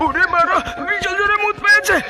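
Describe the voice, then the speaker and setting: A man voicing an excitable, foul-mouthed young goofball in a crude comedy cartoon, exclaiming in slangy talk. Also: very high-pitched, fast, loud music behind the voice. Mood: excited.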